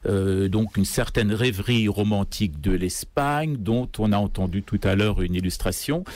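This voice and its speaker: A man from Iran speaking hesitantly, with many pauses, as an unscripted guest in a radio interview. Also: lowish voice, darkish tone, dry recording. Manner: hesitant, halting delivery full of pauses. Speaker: guest singer. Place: radio studio. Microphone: close studio microphone.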